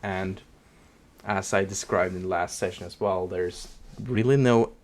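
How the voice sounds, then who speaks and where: A man's voice: sits low, unhurried, a little hiss or hum. A man with a Norwegian accent narrating a tabletop game as game master, hesitant and full of fillers.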